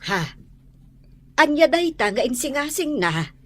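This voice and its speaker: A woman acting a caring mother who gently questions her grown son in a radio drama. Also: high voice, big pitch swings, a little slow. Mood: concerned.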